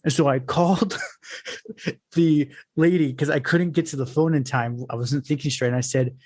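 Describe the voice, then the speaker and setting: A man's voice: fairly high pitch, normal speed, no echo, clean studio sound. A young man hosting a podcast, telling casual stories and acting out the voices of people he quotes, with lots of fillers and restarts. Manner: animated storyteller, acts out quoted voices. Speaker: podcast host. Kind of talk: casual storytelling chat.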